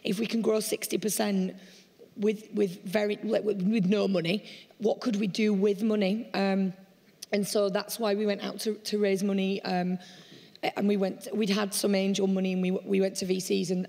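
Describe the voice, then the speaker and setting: A croaky-voiced woman speaks as a founder on a conference stage. Her delivery is candid and conversational but fairly flat in pitch, and her voice rings out with the long reverb of a large hall. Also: normal speed. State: hoarse, croaky voice. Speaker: startup founder on a conference panel. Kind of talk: panel discussion.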